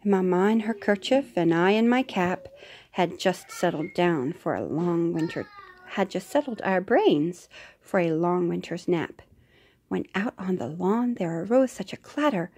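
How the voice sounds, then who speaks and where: A woman's voice: lowish voice, animated, unhurried, slightly rough. Mother reading a storybook aloud. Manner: gentle, with soft whispered asides.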